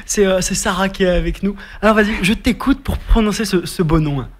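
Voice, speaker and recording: A man's voice: high voice, a little fast. A man hosting a casual radio interview, often repeating words as he phrases his questions. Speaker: radio show host.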